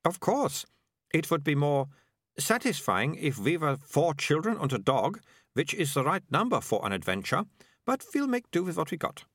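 A bossy, self-assured young girl in a storybook, voiced by a male narrator. The voice is raised high and leaps about with dramatic insistence, recorded dry and close. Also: very animated, moderate pace, a bit bright, slightly rough, no room sound, full character voice.

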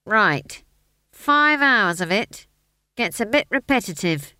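A gloomy old-hand dwarf, as a woman narrator puts him on grumbles in a weary, dry way. The sound is close and dry, with a pitch that still moves a lot. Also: big pitch swings, slow pace, bright, forceful tone, no room sound, heavily acted. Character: Howell, a gloomy, wary male dwarf.

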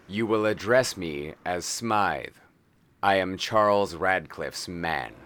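An actor playing a stiff, droll manservant with a formal deadpan manner in a 1930s audio drama. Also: male voice, low-pitched, slow. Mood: stiff, disdainful. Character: stiff, droll, disdainful manservant of a rich household.

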